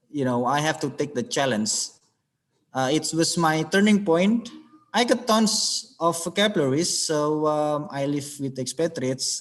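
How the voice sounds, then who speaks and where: Brief: male guest speaker, slightly high pitch, slow and halting, webinar talk. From Indonesia. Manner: halting, searching for words. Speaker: alumni guest speaker, English trainer. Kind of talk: webinar presentation over slides.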